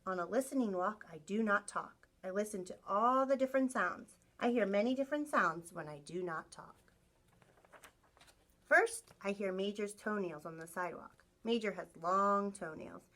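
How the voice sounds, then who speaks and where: A woman teacher reading a picture book aloud to young children in an expressive storytelling style, acting out sound effects. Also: medium pitch. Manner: animated, acts out sound effects, asks listeners questions.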